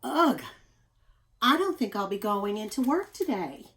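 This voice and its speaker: A woman voicing a gentle, polite elderly male zookeeper in a storybook, with courteous, measured, expressive delivery. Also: medium pitch. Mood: achy, weary.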